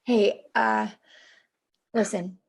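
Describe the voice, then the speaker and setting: A woman's voice: mid-range voice, fairly even intonation, very slow, creaky, breathy edge, heavily acted. A woman playing a wry, guarded young assistant in a table read. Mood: earnest.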